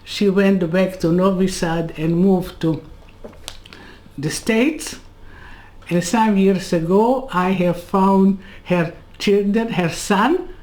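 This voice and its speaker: A woman in her eighties from Serbia, telling her life story in an interview, in halting non-native English full of asides. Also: medium pitch, a little mellow. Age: 80s. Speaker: Holocaust survivor interviewee. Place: home room lined with bookcases. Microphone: webcam on a video call.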